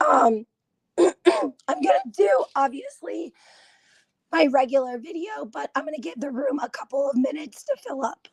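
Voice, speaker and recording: A woman hosting a casual live stream, hoarse from laryngitis, chatting in a confiding way and often clearing her throat. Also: high-pitched, very animated, normal speed, long pauses, dry recording, poor audio. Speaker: gossip channel host.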